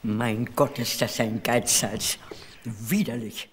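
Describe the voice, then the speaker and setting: A woman's voice: a bass voice, steady tone, slow, slight background noise, full character voice. An older woman playing a haughty, blunt old countess in a German crime radio drama, with an aristocratic, brusque manner. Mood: scornful.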